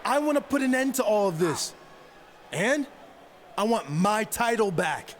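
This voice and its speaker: High-pitched, sneering villain wrestler. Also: male voice, big pitch swings, slight background noise. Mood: menacing. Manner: taunting, drawn-out, sarcastic. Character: aggrieved, menacing, sarcastic villain wrestler, bitter ex-champion. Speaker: pro wrestler cutting a promo. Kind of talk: video game wrestling promo acting.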